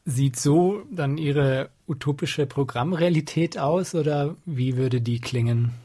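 Husky, mellow male interviewer. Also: a little high, takes it slowly. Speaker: radio interviewer. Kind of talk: measured interview questions.

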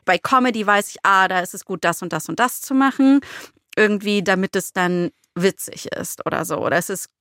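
A woman's voice: medium pitch, fairly quick, fairly bright tone, no room sound. A woman co-hosting a podcast in a studio, chatting casually in informal, slangy language. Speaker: podcast co-host and screenwriter. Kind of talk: casual chat.

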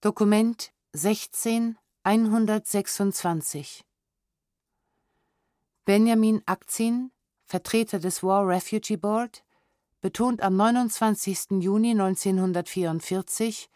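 Woman, medium pitch, formal documentary reading, sober, careful diction, dry studio. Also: unhurried, a little mellow, no room sound. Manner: formal, sober, precise enunciation. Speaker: reader of historical documents. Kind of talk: scripted documentary audio narration, read aloud.

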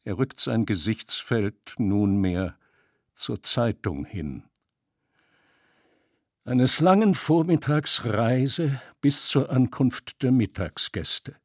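Man, literary narration, deliberate phrasing, slow delivery, dry close sound. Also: mid-range voice, breathy edge, no room sound. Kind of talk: literary reading, scripted narration.

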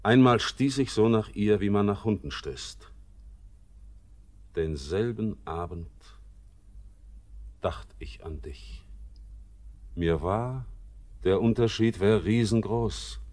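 An actor playing a bitter, brooding young sailor back home, in a classic radio play. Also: male voice, lowish voice, expressive, a little mellow. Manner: slow, somber, bitter and brooding.

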